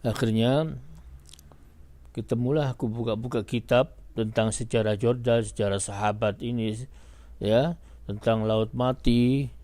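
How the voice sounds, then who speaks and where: An older man from Indonesia, an imam, answering interview questions in a slow, unhurried way. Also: medium pitch, fairly even intonation, warm tone, no room sound.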